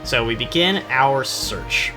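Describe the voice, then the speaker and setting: Male gamer, lively swooping intonation, mid-pitched, sarcastic, self-deprecating, casual rambling commentary, clearly audible music behind. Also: slow. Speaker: gaming video host.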